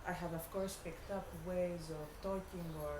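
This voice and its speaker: A woman from Greece with a Greek accent, a non-native English speaker, answering interview questions reflectively and haltingly as she searches for words. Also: fairly low pitch, fairly even intonation. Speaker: artist being interviewed. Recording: echoey room with clear background noise.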